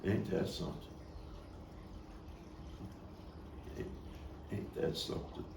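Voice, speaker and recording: A man performing a stage monologue as a haunted Brooklyn man confessing a boyhood killing, halting, brooding, bitter and anguished. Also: lightly acted. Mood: bitter, wistful. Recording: roomy, distant mic, faint noise.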